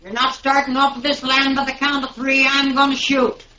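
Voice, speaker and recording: A woman's voice: sits high, flat delivery, moderate pace, bright, forceful tone. An actress in an old radio western playing a stubborn frontier woman who makes blunt, folksy threats to defend her land. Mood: defiant, threatening. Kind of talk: 1950s radio western acting. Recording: muffled, noisy, clicking old radio recording.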